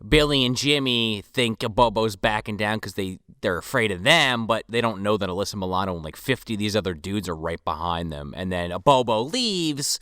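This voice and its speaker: A man's voice: lowish voice, expressive, brisk, few pauses, fairly bright tone, clear, resonant tone, dry recording, studio quality. A man co-hosting a casual movie review podcast, recapping plot points with wry sarcasm. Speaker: podcast co-host.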